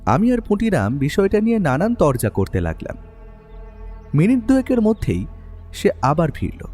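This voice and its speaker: A man performs a detective's writer companion narrating an audio mystery. His mellow, somewhat high voice moves with lively swings of pitch, expressive and literary. It carries long reverb over music. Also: moderate pace, slightly rough, exaggerated voice. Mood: brisk, narrating. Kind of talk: scripted first-person story narration and drama acting.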